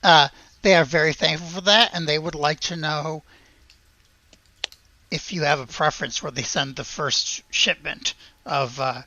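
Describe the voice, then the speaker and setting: A woman's voice: low voice, a little slow, long pauses, bright, forceful tone, no room sound. A woman acting as game master, narrating a tabletop role-playing game in an easygoing, conversational way.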